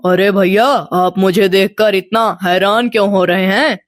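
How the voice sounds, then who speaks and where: A woman's voice: medium pitch, fairly even intonation, a little slow, no room sound, clean studio sound, pitched below the performer's own voice, lightly acted. A woman voicing a scheming, jealous village man with a sly, fawning manner in a storybook tale. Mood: falsely sweet.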